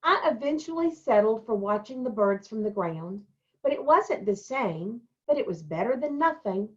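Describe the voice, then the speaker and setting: A woman reading a children's storybook aloud and talking to young pupils in simple, deliberate phrases. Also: fairly high pitch, lively intonation, lo-fi sound. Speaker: elementary school staff member reading a picture book to children.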